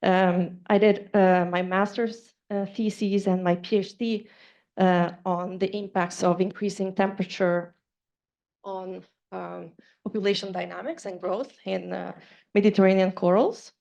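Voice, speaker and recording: A woman from Costa Rica giving an academic seminar talk, earnest and halting, with many fillers and restarts. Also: mid-range voice, steady tone, gravelly, darkish tone. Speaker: seminar speaker. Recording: dull lo-fi lecture recording.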